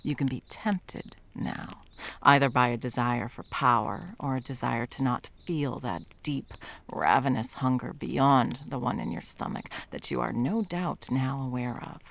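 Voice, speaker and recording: Woman acting as a stern female monster hunter, gravelly fry, commanding, condescending endearments, whispery dips, ASMR roleplay. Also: rumbling low, full character voice. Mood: grave, conflicted. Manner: commanding, condescending, with pet names. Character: stern female monster hunter, condescending yet tender to her captive lover.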